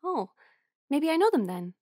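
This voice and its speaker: A woman playing a friendly, teasing young musician in an audio roleplay, speaking in a casual, halting, intimate way. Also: high-pitched, big pitch swings, mellow, no room sound, clean studio sound. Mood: thoughtful, probing. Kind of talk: intimate conversational roleplay acting.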